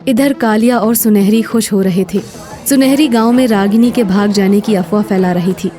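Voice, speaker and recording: A woman's voice: mid-range voice, moderate pace, dark tone, soft background music. A woman narrating a scripted story as its storyteller.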